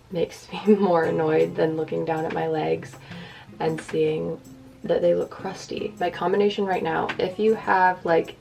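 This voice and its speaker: A young woman with a raspy voice, rambling casually and self-deprecatingly to the camera as a lifestyle vlogger. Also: low voice, warm tone.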